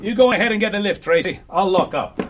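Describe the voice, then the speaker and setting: A man's voice: high-pitched, fast, background noise, close to an everyday voice. An actor playing a smug, scheming Italian man with an Italian accent and broken English, a fussy parrot owner in a sitcom. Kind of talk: sitcom acting.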